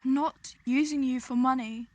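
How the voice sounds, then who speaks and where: A woman's voice: high-pitched, fairly even intonation, unhurried, no echo. A woman playing an eager, questioning teenage friend in an audio drama. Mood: shocked, hurt.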